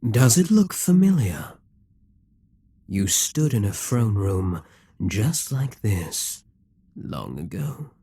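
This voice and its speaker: A man's voice: lowish voice, very animated, dark tone. Guy doing a scheming demon king, possessive, mocking, takes his time with every word, audio roleplay vibe. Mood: gloating. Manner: slow, menacing, mocking.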